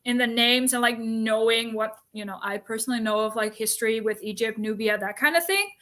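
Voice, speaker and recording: Chatty woman with a slightly high voice. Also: brisk, pushed and bright. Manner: chatty, explanatory, with informal asides. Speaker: book discussion co-host. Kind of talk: casual chat about books and history.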